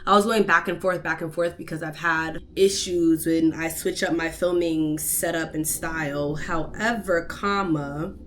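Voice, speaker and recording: A woman's voice: deep voice, steady tone, a little slow, hardly any pauses, slight background noise. A young woman with a stuffed-up nose chatting casually to her vlog camera at home, playful and full of slang. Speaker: vlogger and podcast host. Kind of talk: casual day-in-the-life vlog chat. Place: apartment living room, indoors. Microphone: camera on a tripod.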